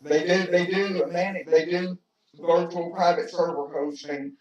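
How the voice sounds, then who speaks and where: Brief: man on a video call, fairly high voice, stop-start delivery, roomy distant dull audio. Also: steady tone. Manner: halting, repeats words while explaining. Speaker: tech-savvy participant on a video call. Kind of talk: casual group chat.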